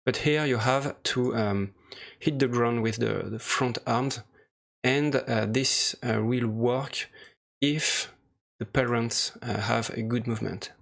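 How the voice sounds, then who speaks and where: A man presenting an unscripted software tutorial, speaking hesitantly in short, halting phrases. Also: mid-range voice, creaky. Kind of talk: unscripted screen-recorded tutorial walkthrough. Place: voice-over for a screen recording.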